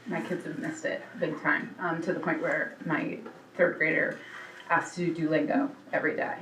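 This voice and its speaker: A woman parent at a school meeting, speaking candidly and thinking out loud. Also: low-pitched, steady tone, moderate pace. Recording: echoey room, distant, noticeable background hum.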